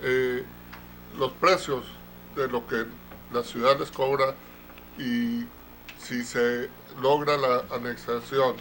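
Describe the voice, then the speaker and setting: A man with a mid-pitched voice interpreting at a council meeting, halting and restarting as he goes. A faint hiss of background noise sits under his voice. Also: little pitch movement, a bit bright. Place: council chamber.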